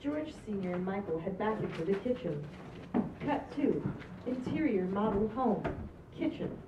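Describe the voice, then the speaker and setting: A woman's voice: mid-range voice, big pitch swings, normal speed. Woman reading stage directions, echoey and distant. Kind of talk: scripted narration at table read. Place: small indoor stage. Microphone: far camera. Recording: distant echoey muffled noisy camera audio.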